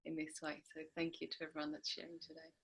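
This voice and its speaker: A woman in the audience briefly introducing herself on a video call, friendly and a little halting. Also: low voice, completely flat delivery, vocal fry, husky. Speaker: audience member. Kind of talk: casual greeting.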